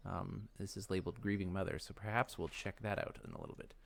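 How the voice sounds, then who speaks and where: Low-voiced man commentating a game. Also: steady tone, fast talker, creaky. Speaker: let's play host. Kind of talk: casual gameplay commentary.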